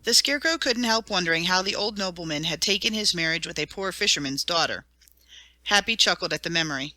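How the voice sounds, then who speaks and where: A woman narrating a storybook audiobook in a clear, even manner. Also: on the low side, quick pace, dry recording.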